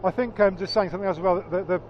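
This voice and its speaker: Loud-voiced man, dull outdoor recording. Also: high voice, steady tone. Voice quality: naturally loud voice. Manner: halting, repeats words, explains carefully. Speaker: motorcycle vlogger. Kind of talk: casual chat. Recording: dull lo-fi sound with faint traffic.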